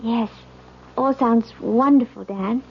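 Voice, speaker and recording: A hesitant newlywed bride in a radio mystery. Her voice sits fairly high and sounds uneasy. The old recording is muffled and noisy. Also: slow pace. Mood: polite, subdued. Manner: polite, hesitant. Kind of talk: 1970s radio drama acting.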